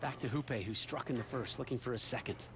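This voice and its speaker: A man's voice: medium pitch, fast talker, heavy background noise. A man doing play-by-play commentary for a televised bowling match, upbeat and joking as he tells stories between shots.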